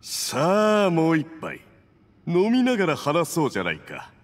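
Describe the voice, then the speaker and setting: A man's voice: on the high side, wildly swooping pitch, measured pace, long reverb tail. A man voicing a scheming, domineering male agent in an anime video game, smug, patronizing and commanding. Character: scheming, domineering Fatui agent toasting his accomplice.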